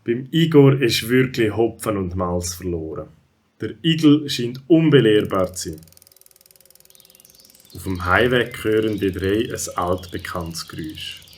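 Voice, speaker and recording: A man's voice: fairly low pitch, expressive, a little slow, husky. A man with a Swiss German accent narrating a scripted children's story.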